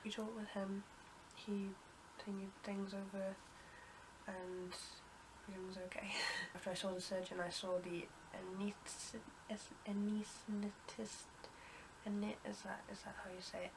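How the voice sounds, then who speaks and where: A woman's voice: mid-range voice, completely flat delivery, measured pace, noisy background. A young woman with a cold and a blocked nose, telling a story to camera in a rambling, casual way with many ums and restarts. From United Kingdom. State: congested with a cold. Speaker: vlogger.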